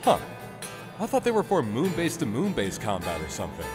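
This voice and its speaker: A man voicing a brash, indignant, burly fighter in a comic dub, emphatic and excitable. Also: medium pitch, a little fast, in a large hall, over music. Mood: puzzled. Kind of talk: scripted cartoon acting.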